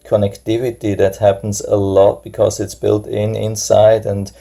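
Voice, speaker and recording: Low gravelly man, German native speaker. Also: normal speed. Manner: hesitant, many uh fillers, relaxed and explanatory. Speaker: product reviewer. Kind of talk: casual unboxing review. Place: indoors at a table.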